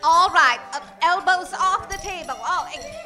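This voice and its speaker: A woman's voice: very high voice, normal speed, music in the background. A woman acting a flustered, stammering saloon keeper trying to keep order in an old-time radio western comedy.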